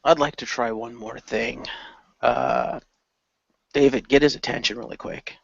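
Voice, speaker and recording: A man's voice: medium pitch, fairly even intonation, measured pace, creaky, no echo. Guy as a dragon hero in a homemade radio play, midrange voice, kinda muffled recording. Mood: resolved. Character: male dragon hero with a tail, excitable. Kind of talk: amateur radio play acting.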